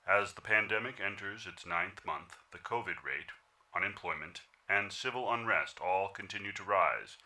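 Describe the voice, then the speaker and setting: Man acting a very bright, forceful news anchor. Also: sits low, normal speed, full character voice. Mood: grave. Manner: formal, portentous announcer delivery. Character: grave, formal male evening news anchor. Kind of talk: radio news bulletin acting.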